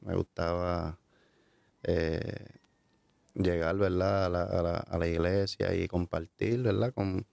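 A man with a Puerto Rican accent speaks in a very low, gravelly voice. He talks in a flat, hesitant way, full of fillers and restarts. The sound is dry and close. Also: no room sound. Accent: Puerto Rican Spanish. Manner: halting, many fillers and restarts. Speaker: guest giving a testimony. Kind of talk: hesitant conversational storytelling.

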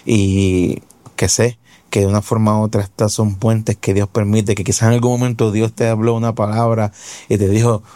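A man's voice: lowish voice, mellow, dry recording. Devout man hosting a testimony show. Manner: devout, effusive interviewer. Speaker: host.

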